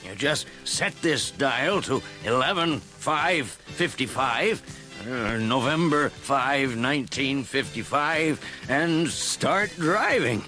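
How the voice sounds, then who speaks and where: An actor playing an excitable, eccentric old male scientist with a dramatic, emphatic delivery in a children's read-along story. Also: medium pitch, slow pace, soft background music. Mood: proud, excited.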